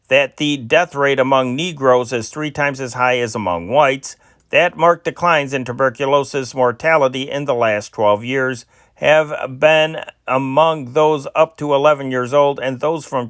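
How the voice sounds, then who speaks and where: A man's voice: mid-range voice, a bit bright, no echo, clean studio sound. A man reading old newspaper stories aloud, with occasional stumbles and restarts. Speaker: amateur news reader and channel host.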